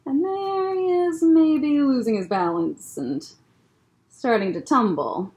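A young woman reading a children's picture book aloud as a librarian storyteller, expressive and deliberate. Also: sits high. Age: young adult. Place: indoor library room.

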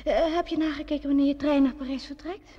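A woman's voice: sits high, fairly even intonation, fast talker, natural voice. An actress playing a wistful, tender woman in an old radio drama. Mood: restrained, tender. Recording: dull vintage radio play recording.

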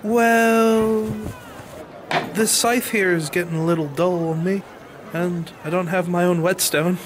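A man's voice: high-pitched, steady tone, measured pace, noisy background. A man playing an earnest, humble medieval peasant farmer in an audio drama, with a pleading, hesitant manner. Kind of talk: audio drama acting and narration.

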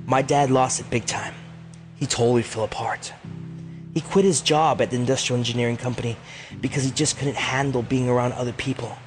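A man's voice: medium pitch, close to an everyday voice. A man playing a sarcastic, anxious teenage boy in a fan audio drama, with an emphatic delivery.

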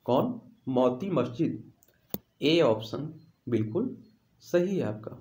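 A man's voice: mid-range voice, animated, takes it slowly, darkish tone, slight background noise. A man teaching exam questions in an instructional way, repeating questions and answers for emphasis, with an echoey room sound. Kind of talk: instructional quiz walkthrough.

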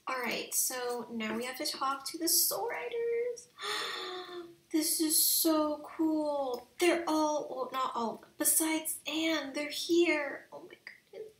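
A young woman giving casual, slangy let's play commentary on a video game in an excitable, gushing way. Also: high voice, slow pace, roomy sound, far from the mic. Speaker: video game streamer and channel host.

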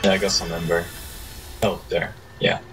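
A man's voice: sits low, little pitch movement, normal speed, music in the background. A man chatting casually during co-op gameplay, a little hesitant and stammering as he talks. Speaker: gamer following in co-op. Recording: clear background noise on voice.

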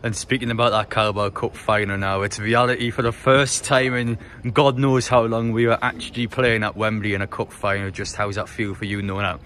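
A man's voice: on the low side, little pitch movement, a little fast, few pauses, a bit bright, slight background noise. A man interviewing football fans on the street, asking long rambling questions. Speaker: interviewer, YouTuber. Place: city street outdoors.

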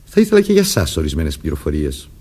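An actor playing a suspicious police sergeant in a Greek radio play, questioning with probing insistence and a husky voice. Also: male voice, extremely low pitch, wildly swooping pitch, mellow, exaggerated voice. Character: suspicious, persistent male police sergeant interrogating suspects. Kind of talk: Greek radio theatre acting.

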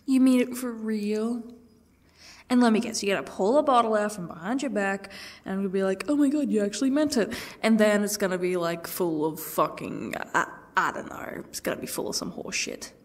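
A woman playing a sarcastic, defiant captive in an ASMR roleplay, swearing and slipping into breathy half-whispers. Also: medium pitch, moderate pace, natural voice. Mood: suspicious, defiant.

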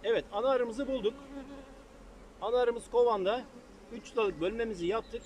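A man's voice: extremely high pitch, normal speed, a little mellow. A middle-aged man, a beekeeper, explaining hive splitting to viewers in a casual how-to while working at the hives in an open field, recorded by a camera set at a distance. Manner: practical, addresses viewers as friends, thinks aloud while working. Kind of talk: casual outdoor how-to lesson. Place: open field beside beehives, outdoors. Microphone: far camera on a tripod. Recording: faint field noise with buzzing bees.

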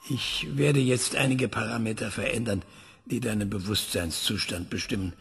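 An actor playing an obsessive older male scientist who questions an AI in a calm, measured, probing tone, in a radio play. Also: medium pitch, vocal fry, husky, in a large hall, heavily acted.

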